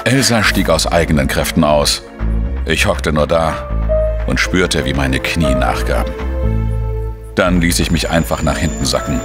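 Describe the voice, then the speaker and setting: An actor playing a world-weary male investigator, narrating his case in a measured, introspective way for a crime audio drama. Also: very deep voice, over loud music. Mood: tense narration.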